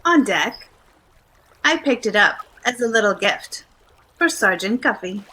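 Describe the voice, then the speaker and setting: An actress playing a poised, clever detective's wife, coolly wry and teasing, in 1940s radio drama style. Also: female voice, mid-range voice, animated, unhurried, bright, forceful tone, low-quality recording. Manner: coolly wry, matter-of-fact.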